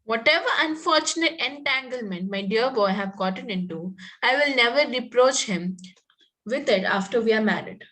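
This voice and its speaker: A spirited young country girl in a Victorian comedy, acted by a woman at a table read. The voice is on the high side. She is sweetly polite with a pointed edge, and she stumbles a little as she reads. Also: animated, normal speed, fairly bright tone, poor audio. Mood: politely defiant. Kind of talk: amateur reading of a Victorian comedy play.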